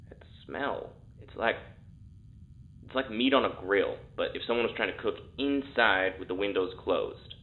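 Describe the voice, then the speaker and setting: A man plays an uneasy male test subject in an audio drama. His fairly low voice comes through narrow and phone-like, casual in wording but halting and repetitive. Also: natural voice. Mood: distracted, disturbed. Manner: halting, casual, repeating words. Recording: thin, phone-like intercom sound.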